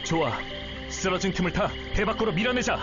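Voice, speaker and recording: A man's voice: sits high, lively intonation, a little fast. A man voicing an earnest, resolute young teacher and fighter, polite and firm, in a Korean video game. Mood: urgent, determined. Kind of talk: dramatic Korean game voice acting. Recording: muffled game audio under loud music.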